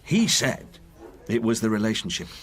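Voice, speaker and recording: A low-voiced working man in a radio drama, candid and haunted by a friend's ruin. His pitch moves around a great deal as he talks. He is plain-spoken and halting, feeling his way through the memories. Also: sing-song. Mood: sombre, regretful.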